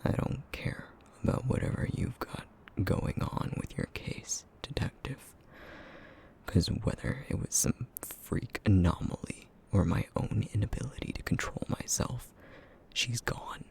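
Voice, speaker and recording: A man acts out a brooding creature who feeds on essence, haunted by guilt and sharp with bitter sarcasm. His low voice sinks into a gravelly near-whisper and moves slowly, in the intimate style of an ASMR roleplay drama. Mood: bitter, defensive. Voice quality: breathy, half-whispered, gravelly.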